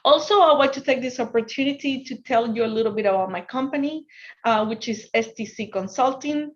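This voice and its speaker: An earnest woman with a Spanish accent leads a business workshop over a video call, her voice sitting a bit high. She often restarts her sentences as she explains. The sound is dull and lo-fi. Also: brisk. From Ecuador. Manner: earnest, restarts sentences, asks if the audience can hear. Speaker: guest speaker on finance. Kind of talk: online workshop presentation.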